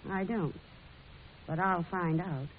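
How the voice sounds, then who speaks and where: An actress playing a feisty, blunt newspaper woman in an old-time radio drama, with brisk, folksy, plain-spoken delivery. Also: on the low side, fairly even intonation. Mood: determined. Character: feisty, blunt 19th-century woman printer and newspaper publisher. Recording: muffled, hissy old radio recording.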